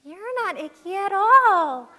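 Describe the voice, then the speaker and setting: Little girl, very high-pitched, bright and piercing, friendly, excitable, puppet show. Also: slow, heavily acted. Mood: friendly, curious. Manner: excitable, exclamatory. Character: fearless, eager, friendly little village girl. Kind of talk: children's puppet show acting.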